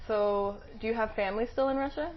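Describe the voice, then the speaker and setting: A woman's voice: on the high side, brisk, a little hiss or hum. Lady interviewer asking follow ups, lots of mm-hmms, audio a bit dull. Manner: steady prompting, frequent "mm-hmm" and follow-ups. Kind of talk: interview questions with brief backchannels.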